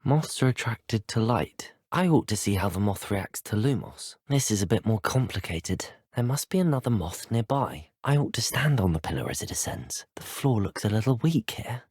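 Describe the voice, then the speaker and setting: A young man with a British accent voicing a polite, inquisitive student wizard in a video game, measured and well-spoken. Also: on the low side, a little mellow, breathy edge, dry recording, studio quality. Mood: curious, musing. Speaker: video game player character. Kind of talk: scripted game dialogue.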